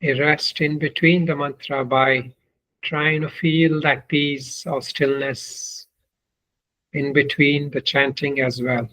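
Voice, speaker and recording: Male meditation guide. Very slow, measured delivery with little melodic movement and long pauses. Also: a little high, little pitch movement, sharp tone, low-quality recording. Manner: deliberate, measured, with long pauses between short phrases. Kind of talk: guided meditation, spoken instruction.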